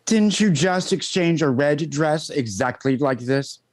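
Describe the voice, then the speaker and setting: A man's voice: fairly high pitch, animated, a little slow. Man playing a snide, fussy radio comedy store clerk. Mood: teasing, condescending. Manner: arch, condescending, mock-polite. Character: sarcastic, fussy, teasing department store clerk. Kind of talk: old-time radio comedy acting.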